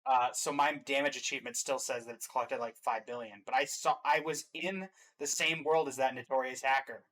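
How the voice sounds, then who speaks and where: A man's voice: mid-range voice, fairly even intonation, a little fast, fairly bright tone. A man co-hosting a reaction video, giving casual, opinionated commentary on game trailers in a wry way.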